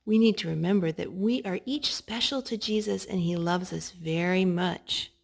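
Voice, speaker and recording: A woman reading a rhyming children's story aloud in a deliberate storyteller's way, phrased for young children. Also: lowish voice, creaky.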